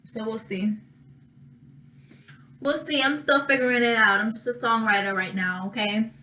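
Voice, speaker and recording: A young woman with a slightly raspy voice chatting casually through a makeup tutorial, thinking aloud and pausing as she works. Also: a little high, steady tone, pushed and bright, rough recording. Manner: thinking aloud, drawn-out pauses while applying makeup. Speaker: makeup vlogger.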